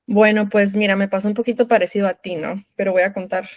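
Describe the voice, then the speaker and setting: A woman's voice: mid-range voice, fairly even intonation, quick pace, no echo. A woman in her thirties from Guatemala with a Guatemalan Spanish accent, a lactation consultant chatting as an interview guest, often adding little tag questions. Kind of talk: conversational interview.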